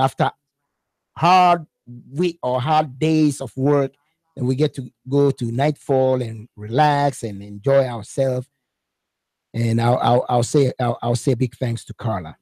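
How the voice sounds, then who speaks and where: A man's voice: medium pitch, darkish tone, no echo. A man from Ghana with a Ghanaian accent, a musician talking casually as a guest on a radio show, who often repeats words as he starts a thought. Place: radio studio.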